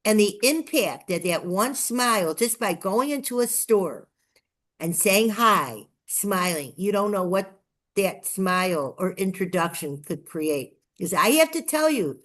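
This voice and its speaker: Slow-paced older woman with Chicago accent. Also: mid-range voice, animated. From Chicago, United States. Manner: effusive, emphatic, repeats phrases. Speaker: guest, nonprofit TV promoter. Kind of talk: enthusiastic personal storytelling in an interview.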